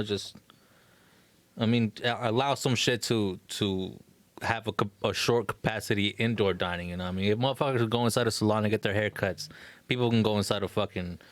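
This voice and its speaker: A man's voice: on the low side, dry recording. A man chatting casually on a podcast in slangy talk, often swearing and saying bro. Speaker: podcast co-host.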